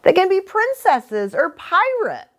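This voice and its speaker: A woman's voice: extremely high pitch, wildly swooping pitch, unhurried, a bit bright. An American woman preacher explaining things simply to children as a story-time host.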